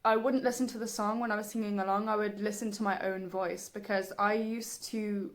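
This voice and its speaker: A young woman with a soft, breathy voice, talking reflectively and haltingly in a personal vlog. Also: medium pitch, fairly even intonation. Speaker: singer, video creator.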